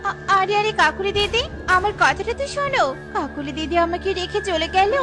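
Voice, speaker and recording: A tiny, chirpy cartoon songbird, voiced by a woman, with a very high voice. She talks sincerely and kindly and does not back down. Gentle kids' music sits under her. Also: lively intonation, brisk. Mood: determined. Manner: earnest, polite. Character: small honest brave female songbird. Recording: with light background music.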